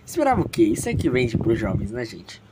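Very deep-voiced woman telling a story. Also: wildly swooping pitch, fairly quick, noisy background. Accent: Brazilian. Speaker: narrator and character reader in an audio story. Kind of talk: dramatic, chatty storytelling.

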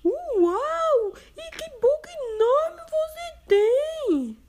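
A woman voicing a sweet little girl in a children's storybook reading, squeaky and innocent. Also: slow pace, a little hiss or hum. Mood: wondering.

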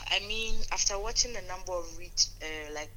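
A woman's voice: lowish voice, fairly quick, pushed and bright, a little hiss or hum, poor audio. A woman chatting casually as a caller. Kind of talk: casual chat. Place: on the other end of a phone call.

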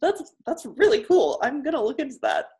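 Lively enthusiastic woman interviewer. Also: sits high, fairly quick. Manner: enthusiastic, reacts with wows and laughs. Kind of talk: casual interview.

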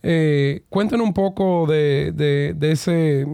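A man hosting a podcast from a recording booth, upbeat and enthusiastic, often pausing on hesitation sounds as he introduces a guest. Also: fairly high pitch, creaky, mellow, clear, resonant tone, no echo, clean studio sound. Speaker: podcast host.

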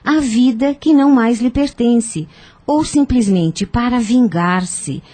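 A woman playing a calm, wise spiritist counsellor in a radio drama, with measured, gentle, didactic delivery. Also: fairly high pitch. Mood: grave, explanatory.

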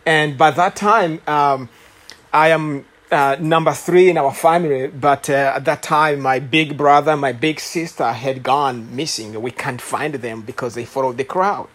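A man in his late thirties from Rwanda, with a Rwandan accent, preaching as a guest speaker and telling his story with many pauses, fillers and restarts. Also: a little high, fairly even intonation, fairly bright tone. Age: late 30s. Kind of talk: sermon testimony.

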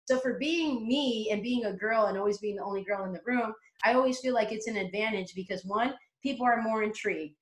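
A woman's voice: mid-range voice, fairly quick, few pauses, echoey room, rough recording. A woman guest on a casual podcast interview, talking brashly and confidently and swearing freely. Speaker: interview guest, motivational coach.

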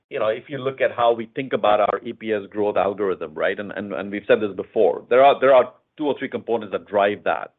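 A man speaking as a company executive, giving measured, explanatory answers in a semi-formal earnings call style, often repeating phrases. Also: lowish voice. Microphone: conference call line. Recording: narrow tinny phone-line sound.

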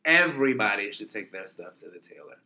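Bright-toned chatty man in his thirties. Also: lowish voice, lively intonation, brisk, slight background noise. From New York. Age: 30s. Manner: chatty, laid-back, peppered with "like" and "you know". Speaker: interview guest. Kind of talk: casual chat.

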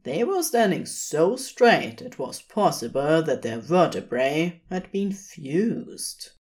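A woman's voice: low voice, big pitch swings, takes it slowly, darkish tone. A woman reading a scripted fantasy story aloud as its narrator. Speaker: podfic narrator.

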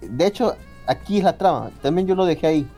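Man, podcast host, casual anime chat, swears a lot, lively up-and-down voice, faint music underneath. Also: fairly high pitch, brisk. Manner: swears often, laughs, reads out show listings.

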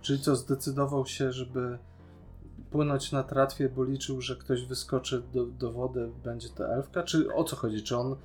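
This is a man with a voice of ordinary pitch. He speaks informally as a podcast co-host, questioning things and giving firm opinions. A light guitar track runs behind him. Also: level tone, normal speed, darkish tone. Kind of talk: casual discussion of a TV series.

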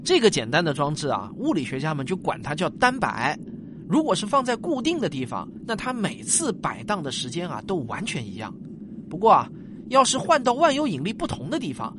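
A man's voice: high voice, huge pitch swings, brisk, background noise. An actor playing a patient father in a science fiction radio drama, explaining things to a child in a coaxing, teacherly way. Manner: coaxing, teacherly, many softening particles.

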